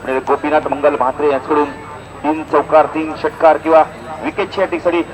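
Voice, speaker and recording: Guy doing live village cricket commentary on a mic, voice a bit high, crowd noise outside. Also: fairly even intonation, brisk, rough recording. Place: outdoor village cricket ground. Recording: outdoor event sound, crowd behind.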